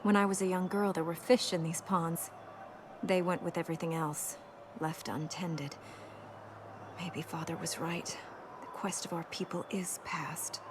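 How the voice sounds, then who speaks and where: An actress playing an earnest, melancholy young princess in a video game, speaking in a measured, wistful way. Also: female voice, fairly low pitch, steady tone, background noise, natural voice. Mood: sad, subdued. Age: young adult.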